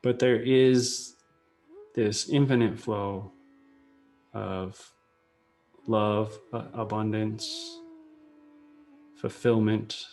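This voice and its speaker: A man guides a meditation in a fairly low voice with a marked creak. He speaks very slowly, in short phrases with long pauses. Music plays behind him. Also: very animated, darkish tone. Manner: unhurried, pausing between short phrases.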